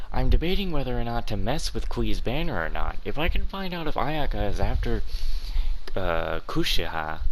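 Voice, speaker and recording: Low-voiced man doing game commentary. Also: slight background noise, low-quality recording. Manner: informal, grumbling, talks back to the game. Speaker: gaming video host. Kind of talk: casual playthrough commentary.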